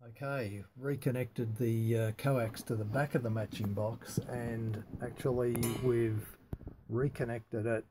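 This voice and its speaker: A man talking casually and haltingly through a hands-on technical demonstration, unscripted and matter-of-fact. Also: medium pitch, steady tone, background noise. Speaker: amateur radio hobbyist demonstrating antenna tuning.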